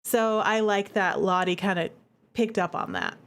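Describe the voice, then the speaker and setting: A woman streamer and game developer giving casual live commentary, chatty and expressive, often repeating herself for emphasis. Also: mid-range voice, normal speed, soft background music.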